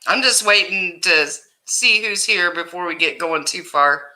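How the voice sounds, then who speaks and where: A woman hosts a relaxed livestream chat and greets her viewers one by one. Her loud voice carries, with a very bright, forceful edge. She talks at an easy, unhurried pace. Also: on the low side, poor audio. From Ohio, USA. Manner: loud voice that carries, chatty and laughing. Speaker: livestream host.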